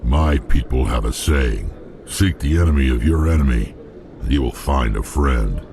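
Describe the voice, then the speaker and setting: An actor voicing a hulking alien mercenary warrior in a video game, blunt and threatening, with a deep gravelly growl. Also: male voice, moderate pace, noisy background. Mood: gruff. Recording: game audio with loud score behind.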